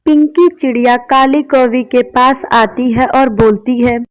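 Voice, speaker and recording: A woman's voice: sits high, fairly even intonation, a little slow. A woman narrating scripted children's stories, with muffled, dull sound.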